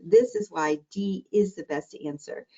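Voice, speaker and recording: A middle-aged woman, a diabetes educator, teaching an exam-prep webinar in a warm, conversational, encouraging way. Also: mid-range voice, huge pitch swings, measured pace, creaky, highs cut off. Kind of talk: live online exam-prep lesson.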